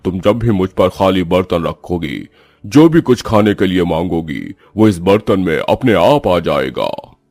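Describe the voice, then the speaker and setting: A man voicing a kindly magical talking golden stove, calm, solemn and reassuring, in a cartoon story. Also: sits low, measured pace, dry recording, full character voice.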